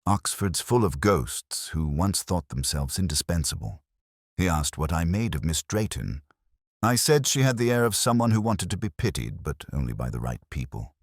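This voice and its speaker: A man narrating a first-person detective story in a measured, literary, reflective way. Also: deep voice, sing-song, dry recording, clean studio sound.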